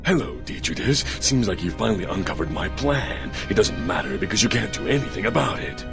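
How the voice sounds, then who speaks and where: An actor playing a smug, vindictive robot crime boss in a video game, with a gravelly, theatrical, taunting delivery. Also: male voice, lowish voice, lively intonation, a little fast, over music. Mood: smug, scornful. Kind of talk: dramatic video game cutscene acting.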